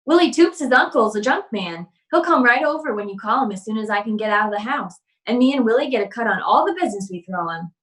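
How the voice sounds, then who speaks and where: A woman performs a scheming little girl from a 1940s-style radio comedy. She sounds pert and fairly high, wheedling like a child who knows her angle. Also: expressive, quick pace, few pauses, a bit bright. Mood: cheeky.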